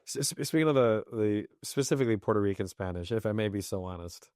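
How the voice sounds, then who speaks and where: A man's voice: fairly low pitch, fast talker, a little mellow, smooth, no room sound, studio quality. A man hosting a podcast, asking long, rambling questions in a curious, joking way. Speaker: podcast host. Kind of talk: casual podcast conversation.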